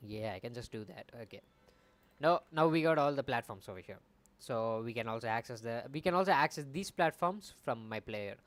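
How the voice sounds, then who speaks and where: A man's voice: medium pitch, lively intonation, brisk, no room sound. Young man thinking aloud while coding. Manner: rambling, thinking aloud, self-correcting. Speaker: game developer streamer. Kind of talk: casual live coding commentary. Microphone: desk mic.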